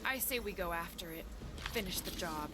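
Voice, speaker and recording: A woman's voice: lowish voice, lively intonation, normal speed, heavy background noise, natural voice. An actress playing a tough, sardonic female alien assassin in a video game, blunt, dry and commanding. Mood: dry, menacing.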